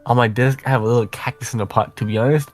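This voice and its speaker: A man's voice: medium pitch, steady tone, racing, soft background music, lightly acted. A man voicing a chatty, affectionate young female letter writer in a visual novel, conversational and self-deprecating. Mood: cheerful.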